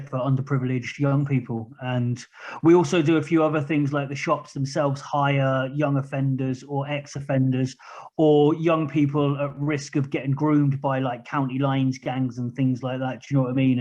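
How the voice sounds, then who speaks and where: A man's voice: medium pitch, dark tone. A man in his thirties with a southern English accent, talking candidly and at length as a guest in a casual interview. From England. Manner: candid, plain-spoken, rambling. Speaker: podcast guest, charity project manager. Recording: muffled lo-fi recording.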